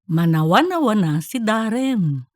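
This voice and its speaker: A woman's voice: mid-range voice, big pitch swings, a little slow, dry recording, clean studio sound. A woman reading scripted narration for a comedic audiobook story.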